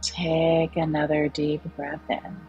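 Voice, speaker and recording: Low-voiced woman guiding a slow meditation. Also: lo-fi sound. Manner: calm, soothing, unhurried, with long pauses. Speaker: meditation guide. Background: soft background music.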